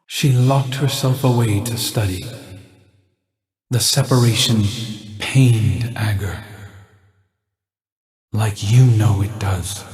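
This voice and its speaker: A solemn storyteller of old legends, acted by a man. He speaks slowly and knowingly in a lowish voice, wrapped in echo. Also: a little mellow, natural voice. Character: solemn male teller of an ancient legend. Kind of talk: storytelling in a video game.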